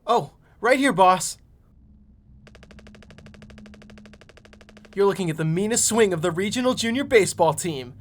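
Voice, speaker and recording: A man's voice: sits high, animated, a little fast, a little hiss or hum. Man playing an upbeat, slangy young spaceship crewman. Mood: boastful. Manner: breezy, slangy, eager. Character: laid-back, boastful, upbeat young male spaceship crewman. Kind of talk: video game fan dub acting.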